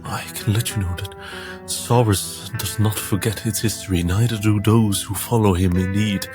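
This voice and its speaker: A man's voice: lowish voice, fairly even intonation, normal speed, over music, natural voice. A man voicing a solemn, grateful follower in a tabletop game, speaking formally. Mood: solemn gratitude.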